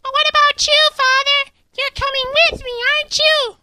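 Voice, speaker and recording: A small frightened boy in a comic storybook. The voice is an extremely high, squeaky falsetto, tearful and pleading. The recording is noticeably noisy and strained. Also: level tone, moderate pace. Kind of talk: over-the-top comic storybook reading.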